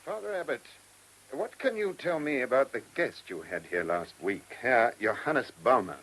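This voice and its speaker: A man's voice: medium pitch, lively intonation, moderate pace, a bit bright, low-quality recording. An actor playing an irritable, shrewd male chief inspector in a radio play, brusque and commanding, given to muttered asides.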